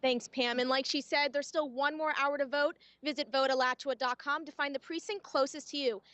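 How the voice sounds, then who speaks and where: A young woman with a high voice reads scripted news as a television reporter. Her delivery is formal and built for broadcast. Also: fairly even intonation, a little fast, a bit bright.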